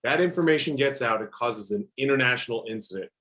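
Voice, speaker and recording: A man playing a dutiful, ruthless armed operative, measured and apologetic, in a script table read. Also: mid-range voice, animated, fairly bright tone, natural voice. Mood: earnest.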